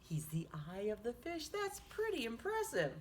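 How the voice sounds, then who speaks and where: A woman hosting children's storytime, reading a picture book aloud in an animated, sing-song manner. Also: a little high, moderate pace, a little hiss or hum. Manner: animated, sing-song, with hushed asides to listeners.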